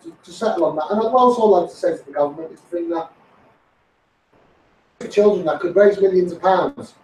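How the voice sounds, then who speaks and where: Male, Scouse, high voice. Insistent amateur campaigner delivering an impassioned monologue, recorded with heavy room echo. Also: fairly quick, a little mellow, slight background noise. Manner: insistent, repetitive, directly addressing politicians. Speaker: campaigning video host. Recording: echoey, distant from the microphone.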